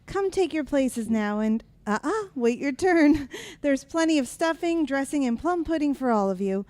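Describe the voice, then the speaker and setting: A woman's voice: sits high, lively intonation, moderate pace, darkish tone. A woman playing a loving, outspoken mother of a poor family in a radio drama, motherly and plain-spoken. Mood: bustling. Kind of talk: old-time radio drama acting.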